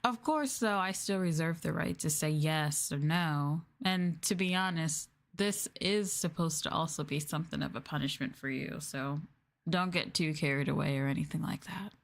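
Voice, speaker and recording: A woman streamer doing a sadistic ASMR-style roleplay, talking down to her listeners in a teasing, mocking way with playful drawn-out emphasis. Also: sits low, creaky. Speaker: VTuber streamer, host.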